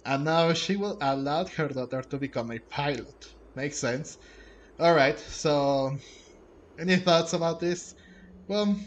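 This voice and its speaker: A man's voice: fairly high pitch, a little hiss or hum. A man giving casual gameplay commentary, thinking aloud and rambling with many restarts. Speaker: gamer.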